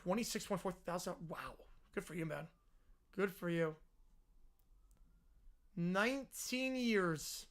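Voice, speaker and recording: A man hosting a live sports reaction stream, chatting casually and answering viewers, his voice hoarse and worn out. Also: sits high, expressive, takes it slowly, pauses often, fairly bright tone. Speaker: livestream host.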